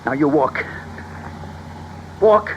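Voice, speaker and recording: A man's voice: fairly high pitch, lively intonation, very slow. An actor playing a sneering, menacing American gunman who barks orders in gangster slang, in an old-time radio crime drama. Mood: threatening. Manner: tough-talking gangster slang, barking orders. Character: menacing, sneering male gunman robbing his captives. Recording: noisy muffled vintage radio, car engine.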